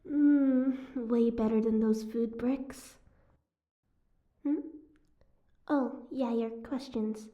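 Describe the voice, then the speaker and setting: A woman's voice: a little high, fairly even intonation, drawn-out words, long pauses, highs cut off. A woman playing a sardonic, guarded captive mermaid in an audio roleplay, with a wry, teasing, prickly manner.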